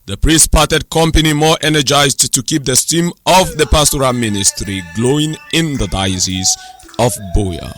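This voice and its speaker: A man's voice: mid-range voice, normal speed, over music. A man narrating a scripted news report.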